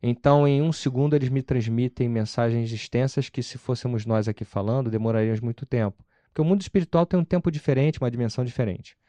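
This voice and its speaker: Man, Carioca Brazilian accent, mid-pitched, interview guest, emphatic, explanatory, rhetorical questions, dry sound. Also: fast talker, hardly any pauses, warm tone, no room sound. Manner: emphatic, explanatory, asks and answers own questions. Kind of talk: conversational testimony about personal faith.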